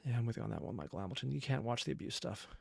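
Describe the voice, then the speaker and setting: A man's voice: medium pitch, vocal fry, slightly rough, no echo. A man hosting a reaction video, giving earnest, analytical commentary in a reflective way. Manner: earnest, analytical, deliberate emphasis. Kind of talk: reflective commentary on a fan dub.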